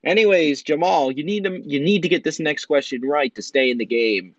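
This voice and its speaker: A man hosting a quiz show, reading questions with drawn-out pauses for suspense. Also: fairly high pitch, no room sound, highs cut off. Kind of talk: hosting a voiced game show on a video game platform.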